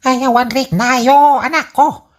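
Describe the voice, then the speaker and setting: A woman's voice: high-pitched, fairly even intonation, measured pace. A woman with an Ilocano accent playing a sickly, stubborn old father in a comedy radio drama, plaintive and obstinate. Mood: aggrieved, insistent.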